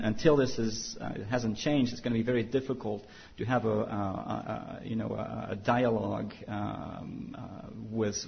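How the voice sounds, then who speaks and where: A man's voice: mid-range voice, gravelly, lo-fi sound. A man giving a conference panel talk, then answering a question, with many fillers and restarts. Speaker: panel speaker from an international agency. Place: conference hall.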